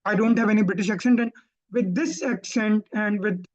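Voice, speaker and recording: A man's voice: sits high, fairly even intonation, a little fast. A man with a Pakistani accent teaching exam skills in a webinar, explaining at length and often correcting himself. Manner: explanatory, repeats points and self-corrects. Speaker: IELTS tutor. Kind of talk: webinar teaching and answering questions. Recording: dull lo-fi online call audio.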